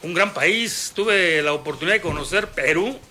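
A man's voice: on the high side, expressive, moderate pace, pushed and bright, faint music behind. A man hosting a sports radio interview, chatty and rambling with many fillers.

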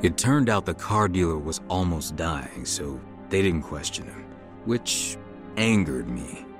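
A troubled young man in a radio testimony drama. His voice sits low but swings widely in pitch, with earnest, measured storytelling. Music plays behind him. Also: animated. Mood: somber, reflective. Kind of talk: dramatized radio testimony, scripted narration and dialogue.

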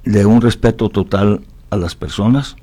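A man's voice: on the low side, normal speed, warm tone. A man from Italy talking reflectively as an interview guest, philosophical and often tagging his points with questions seeking agreement.

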